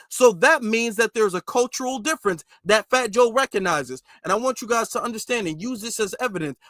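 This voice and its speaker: Emphatic high-voiced man lecturing. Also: a bit bright, dry recording, studio quality. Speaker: commentary channel host. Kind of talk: livestream commentary monologue.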